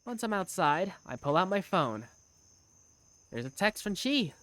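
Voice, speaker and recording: Woman voicing an easygoing young waiter with lively pitch. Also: unhurried, no room sound. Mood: easygoing, wry. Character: Simon, easygoing young male bar waiter narrating his day. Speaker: narrator and lead in a fan dub. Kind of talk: first-person visual novel narration.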